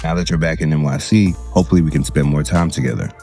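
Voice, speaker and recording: Guy doing a proud young hustler boss, audio drama, super deep confident voice, music under it. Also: fast talker, gravelly, dark tone. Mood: smooth, flattering. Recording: music behind the voice.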